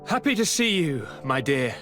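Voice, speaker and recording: A young aristocrat of a fantasy game, breezy, affectionate and theatrical. His fairly high voice swings dramatically up and down through each polite phrase. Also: very animated, moderate pace, over music. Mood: breezy, dismissive. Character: young noble male governor, affectionate, flippant, ailing. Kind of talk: fantasy video game acting.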